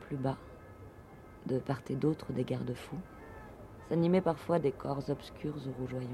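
A man's voice: mid-range voice, fairly even intonation, a little slow, mellow, slight background noise. A man reading descriptive literary prose in a scripted narration.

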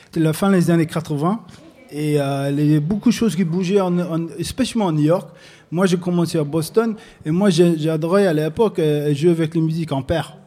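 An American man answering interview questions in halting French, with many pauses and restarts, at a public recording. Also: a little high, warm tone. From United States. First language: English. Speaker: guest artist. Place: public recording at an arts space.

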